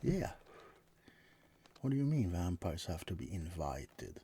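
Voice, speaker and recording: A man playing a suave, teasing vampire in a soft, often whispered bedside ASMR roleplay, murmuring with dramatic pauses. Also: deep voice, big pitch swings, slight background noise. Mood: playful, theatrical.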